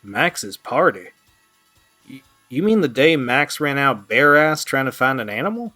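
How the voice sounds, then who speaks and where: Man as young male friend, audio drama, medium pitch, laid-back, wry, good-humoured, soft music behind. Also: sing-song, unhurried, full character voice. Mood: wry, exasperated. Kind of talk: amateur audio drama acting.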